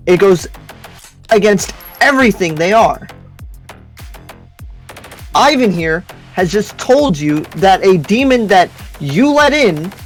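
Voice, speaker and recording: A performer playing a stern, suspicious demon slayer in an improvised anime roleplay, making pointed accusations with dramatic pauses. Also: medium pitch. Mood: stern, accusing.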